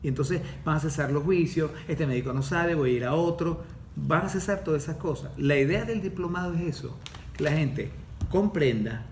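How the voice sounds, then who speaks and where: A man's voice: on the high side, normal speed, a little hiss or hum. A man, a course facilitator explaining things in a podcast conversation, often checking in with "ok?". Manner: didactic, repeats himself, checks in with "¿ok?". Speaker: diploma course facilitator teaching the nervous system.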